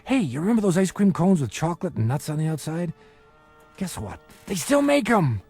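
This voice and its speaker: An actor playing a world-weary, middle-aged small-time bookie, wisecracking with breezy bravado in a radio crime drama. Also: male voice, a little high, very animated, brisk, darkish tone, breathy edge, faint music behind, full character voice.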